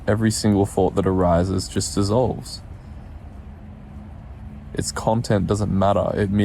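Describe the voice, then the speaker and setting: Low, creaky-voiced man guiding meditation. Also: dark tone, slight background noise. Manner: soothing, unhurried, with pauses. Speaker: meditation guide. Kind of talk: guided meditation instruction.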